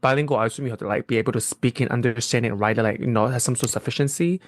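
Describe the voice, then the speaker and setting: A man's voice: mid-range voice, rapid-fire, no echo. A man from Macau whose first language is Cantonese, co-hosting a casual podcast in a laid-back way with easy laughter. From Macau, China. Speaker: podcast co-host.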